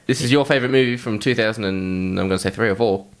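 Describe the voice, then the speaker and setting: A man's voice: lowish voice, fast. A man hosting a podcast in casual, sweary chat about films and video games. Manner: foul-mouthed. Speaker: podcast host.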